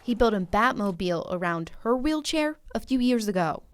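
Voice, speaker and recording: A woman's voice: a little high, animated, normal speed, slight background noise. An American woman reporter reading a scripted narration for a news story.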